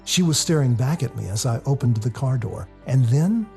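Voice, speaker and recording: A man narrates a first-person story in a confessional, expressive way. His voice is dark and mellow with a heavy gravelly fry, and soft music plays underneath. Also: mid-range voice, moderate pace. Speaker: author reading their own novel.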